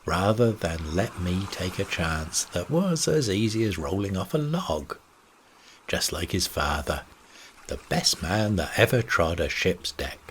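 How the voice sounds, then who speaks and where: Male audiobook storyteller for bedtime sea yarns, unhurried and expressive, faint noise behind. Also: sits low. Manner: first-person old seafaring yarn delivery. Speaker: story reader.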